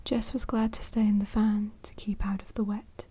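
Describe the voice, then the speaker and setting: A woman reading a children's storybook aloud in a soft, breathy whisper, with hushed asides to the listener. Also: medium pitch, flat delivery, moderate pace, a little hiss or hum. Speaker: storybook reader.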